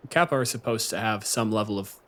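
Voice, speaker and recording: A man with a fairly low voice acts as game master. He talks conversationally as he narrates and runs a tabletop roleplaying game. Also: fast.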